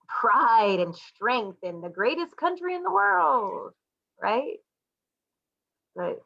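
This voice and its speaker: A woman from the United States giving a reflective personal piece in a thoughtful, husky voice with pauses. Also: medium pitch, fairly bright tone, muffled recording.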